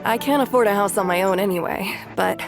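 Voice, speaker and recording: Actress as agreeable woman, medium pitch. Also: brisk, music in the background, lightly acted. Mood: hopeful. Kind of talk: video game acting.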